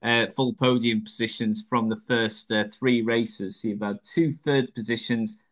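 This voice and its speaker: An English man interviewing an athlete, friendly and pausing often with "uh". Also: mid-range voice, steady tone. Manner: friendly, hesitant with many "uh" fillers. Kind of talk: interview questions.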